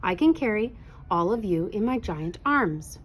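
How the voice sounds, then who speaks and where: A woman voicing a courteous, kindly male giant with a booming delivery in a children's storybook. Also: lively intonation, measured pace, a little hiss or hum, natural voice. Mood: helpful.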